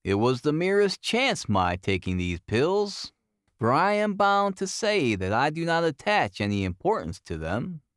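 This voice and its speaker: A man's voice: a little high, big pitch swings, a little slow, a bit bright, no echo, clean studio sound. A man reading a classic detective story aloud as an audiobook narrator, in a scripted, dramatic storytelling style.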